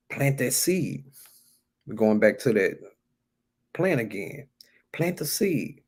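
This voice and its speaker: Low-voiced American man giving an informal sermon. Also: gravelly, darkish tone. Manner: emphatic, repeats key phrases, colloquial. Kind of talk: informal sermon, exhorting talk.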